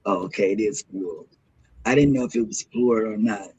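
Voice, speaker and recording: A man hosting a morning show in casual, rambling chat, with a throaty, hoarse voice from a sore throat. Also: on the low side, brisk, mellow, low-quality recording.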